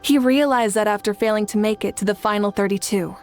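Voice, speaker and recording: A woman's voice: medium pitch, brisk, faint music behind, lightly acted. A woman voicing a confident, regal female fire goddess who leads a feast in a game cutscene, speaking in a proclaiming manner. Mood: reassuring. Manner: warm-hearted, regal, proclaiming. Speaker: archon leading a celebration feast. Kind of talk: video game cutscene voice acting.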